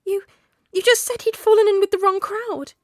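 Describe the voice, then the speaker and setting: A woman voicing a distraught, protective mother in an audiobook story, with a shrill and frantic delivery. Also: steady tone, normal speed, no room sound, studio quality. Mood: shrill disbelief.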